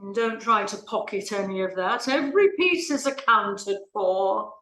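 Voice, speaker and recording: A woman's voice: mid-range voice, measured pace, pushed and bright. Woman as an imperious madam, echoey room. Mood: angry. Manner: bossy, haughty, clipped complaining. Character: imperious, irritable wealthy woman ordering her chauffeur. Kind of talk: play reading. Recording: roomy, echoing room.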